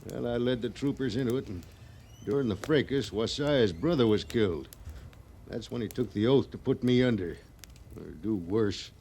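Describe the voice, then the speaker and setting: An actor playing a bitter, vengeful frontier army scout with rough rustic talk, in the style of an old radio western. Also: male voice, medium pitch, moderate pace, a little mellow, close to an everyday voice. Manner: rough rustic grammar, insistent. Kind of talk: 1950s radio western acting. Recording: noisy old radio drama recording.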